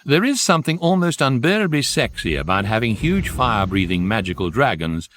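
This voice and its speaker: An actor playing a wry guidebook narrator in a radio comedy, measured and deadpan in his storytelling. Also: medium pitch, slight background noise.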